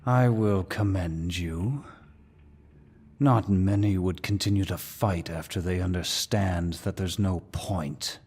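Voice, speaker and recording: A man playing a menacing demon lord in an ASMR fantasy roleplay, with a deep, gravelly voice and a dominant, smug, taunting manner. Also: darkish tone, exaggerated voice. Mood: intrigued, menacing. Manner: dominant, smug, slow and deliberate.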